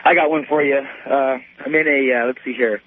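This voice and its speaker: A man in his thirties calls in to talk radio. He talks casually in a medium-pitched voice. The line is narrow and tinny, with no room echo. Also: fairly even intonation, brisk. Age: 30s. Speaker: call-in radio caller. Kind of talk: casual personal phone-in. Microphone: telephone. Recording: dry, tinny phone line.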